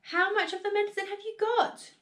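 A woman voicing a small, excitable father on a farm in a children's storybook, exclaiming loudly and easily worked up. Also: high voice, normal speed, lightly acted. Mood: eager. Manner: exclaiming, shouting, breathlessly excited.

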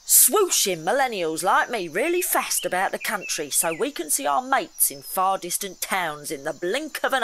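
A cocksure, cynical young schemer in an audio comedy. Her delivery is flippant and very animated in pitch, over clear background noise. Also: low-quality recording. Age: young adult. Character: brash, cynical, scheming millennial woman. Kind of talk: audio comedy drama acting.

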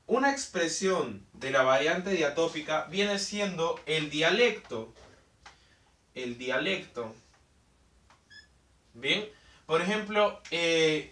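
A man's voice: fairly high pitch, sharp tone, distant mic. A young man teaching a whiteboard lesson, explaining step by step, repeating key terms and often checking in with "ok?". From Peru. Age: young adult. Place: indoor room in front of a large whiteboard. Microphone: camera mic from a distance. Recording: roomy echo, voice from off-mic.

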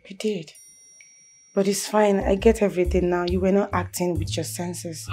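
A woman's voice: high voice, sing-song, normal speed. A woman playing a passionate, insistent wife in a melodramatic film, repeating herself emphatically. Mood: subdued, forgiving. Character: passionate, insistent wife fighting for her husband. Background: faint music.

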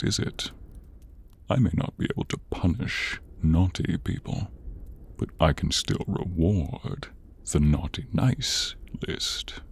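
A man playing a tall, horned, brooding demon in an ASMR roleplay, gravelly, terse and sultry. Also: sits low, full character voice.

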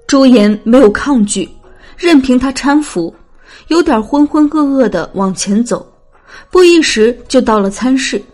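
A woman's voice: a little high, a little mellow. A woman reading scripted, dramatic narration as an audiobook narrator.

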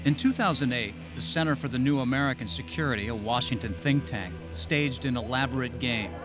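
A man's voice: medium pitch, a little fast. Male documentary narrator over music. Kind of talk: scripted narration. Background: background music.

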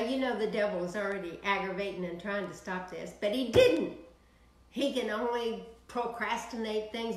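Animated Texan woman preaching. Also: a little high, reverberant, poor audio. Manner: animated, preaching with sweeping hand gestures. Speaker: minister. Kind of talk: prayer broadcast sermon. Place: home office, indoors.

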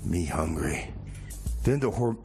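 A man's voice: sits low, big pitch swings, takes it slowly, darkish tone, faint music behind, exaggerated voice. A man playing a scatterbrained, boastful radio host in a comedy show, rambling and exclaiming in a flustered way. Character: scatterbrained, boastful radio host fretting over award paperwork. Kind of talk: comedy radio theater and music DJ banter.

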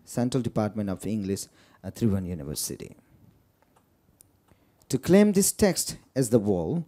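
A man with a Nepali accent introduces a guest in a lecture room, stopping often on fillers and restarting his phrases. His voice is deep and dark in tone, and his pitch swings widely from phrase to phrase. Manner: halting, many fillers and restarts. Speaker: moderator. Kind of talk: introducing a speaker at a book talk.

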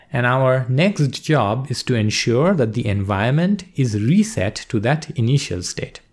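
A male instructor calmly explaining a coding task step by step in a screen-recorded lesson. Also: medium pitch. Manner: steady explanatory pace, walks through steps. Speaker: course instructor.

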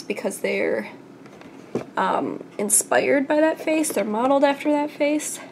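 A young woman with a stuffy, congested voice talks through a collectible doll, chatty and enthusiastic with plenty of fillers. Her voice sits a little high. The delivery is casual and unscripted, like a home review vlog. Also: creaky, a little mellow, slight background noise. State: congested from a recent illness.

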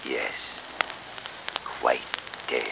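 An actor playing a menacing, grandiose, fanatical murderer in a 1930s radio mystery. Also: male voice, a bass voice, flat delivery, extremely slow, vocal fry, sharp tone, exaggerated voice. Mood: sinister, gloating. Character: villainous cult-like killer posing as mild gentleman, gloating, fanatical. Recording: noisy, hissy 1930s radio recording.

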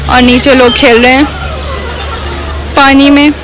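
A young woman with a Pakistani accent vlogging casually on her phone, expressive and switching into English. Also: high-pitched, unhurried, a crowd in the background, background noise. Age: young adult. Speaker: travel vlogger. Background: loud music.

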